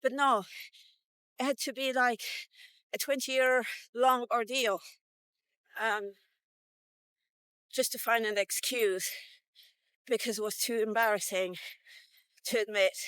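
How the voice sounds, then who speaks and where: A woman's voice: a little high, animated, a little slow, long pauses, fairly bright tone, clean tone, dry recording. Female vlogger, 50s: very leisurely pace, dry close sound, frank conversational storytelling with some swearing. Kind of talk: casual personal storytelling.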